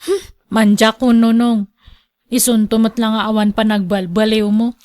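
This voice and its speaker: A woman's voice: on the high side, level tone, normal speed, a little mellow, close to an everyday voice. A woman playing an emotional young daughter and wife in a radio drama, with a northern Philippine accent. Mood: shy, guarded.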